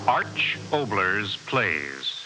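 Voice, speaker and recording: Slow, dramatic male announcer over loud music. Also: on the low side, very animated, poor audio. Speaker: series announcer. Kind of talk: dramatic scripted radio announcement. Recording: 1960s radio recording, loud music.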